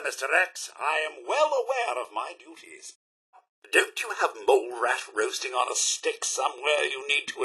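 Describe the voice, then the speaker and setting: Fussy british robot butler for a game, bright raspy voice, super formal and theatrical, kinda snarky. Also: male voice, on the high side, exaggerated voice. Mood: disdainful, dry. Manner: theatrical, formal, arch, clipped politeness. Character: prim, fussy robot butler, male, polite and sardonic. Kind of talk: video game character voice lines.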